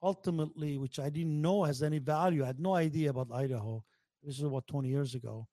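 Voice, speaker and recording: A man chatting casually, telling stories haltingly and repeating words for emphasis. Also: fairly high pitch, warm tone, dry recording, clean studio sound. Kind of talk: casual storytelling chat.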